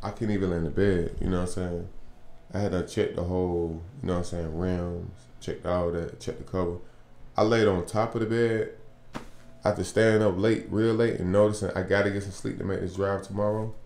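Low-voiced American man, slangy casual vlogger. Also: fairly even intonation, a little fast, a little mellow. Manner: slangy, repeats "man", "bro" and "you know what I'm saying". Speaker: truck driver vlogger. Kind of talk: casual vlog talk to camera. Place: small furnished hotel room, indoors.

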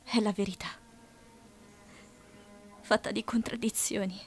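A woman dubbing a resolute young woman in love in a period film drama, sorrowful, soft and measured. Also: medium pitch, steady tone, close to an everyday voice. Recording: fan dub with audible background noise.

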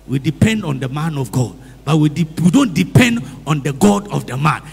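Priest preaching, husky high voice, big echoey church hall. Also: male voice, a bit bright. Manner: preaches in English and Twi, calls for amens; repeats phrases and asks the congregation questions. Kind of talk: sermon. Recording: long hall reverb.

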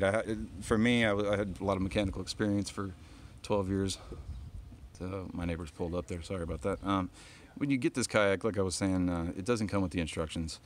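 Deep-voiced man rambling through a walkaround. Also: a little hiss or hum. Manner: rambling, lots of ums and restarts, explains as he goes. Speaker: kayak angler showing his trailer. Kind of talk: casual walkaround show-and-tell. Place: outdoors on a driveway.

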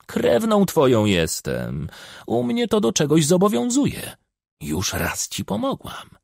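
A man voicing an old village witch, bossy and sly, with a screechy, croaking voice and a commanding, self-assured manner. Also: sits high, wildly swooping pitch, slow pace, a little mellow, no echo, clean studio sound, pitched above the performer's own voice, heavily acted. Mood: brusque, commanding.

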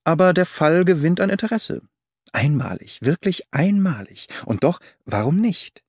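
A man voicing a keen, brooding detective in an audiobook, deliberate and murmuring as he thinks aloud. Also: on the high side, big pitch swings, darkish tone, dry recording, studio quality, pitched above the performer's own voice. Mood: keen, eager. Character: keen, brooding male detective, intense and impatient. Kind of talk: audiobook character reading.

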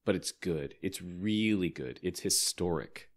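A man voicing a gruff, deadpan teenage boy in an audiobook, deliberate and understated. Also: low voice, voice pushed down. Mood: resolved, scheming. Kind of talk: audiobook character dialogue.

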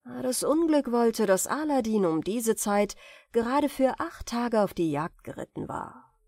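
A woman reading a fairy tale as an audiobook narrator, in expressive, carefully enunciated storytelling. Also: a little high, measured pace, no echo, clean studio sound.

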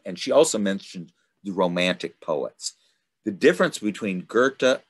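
A middle-aged man hosting an informal online study group, talking in a rambling, halting way over a video call. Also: low voice, slightly rough. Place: indoors at home, video call. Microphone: video call microphone.

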